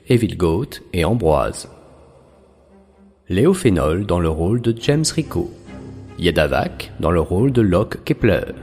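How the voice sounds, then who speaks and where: Low, lively male announcer over music. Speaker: announcer reading credits. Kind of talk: scripted credits narration. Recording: music behind the voice.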